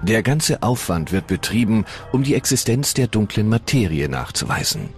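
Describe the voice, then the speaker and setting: A man giving scripted documentary narration in a measured, solemn way. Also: on the low side, sing-song. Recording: music bed under the voice.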